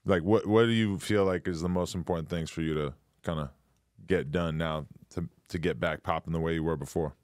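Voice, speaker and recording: A man's voice: low voice, steady tone, a little fast, a little mellow, no room sound, studio quality. A man hosting a podcast interview, laid-back, with short affirmations and casual swearing. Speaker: podcast host.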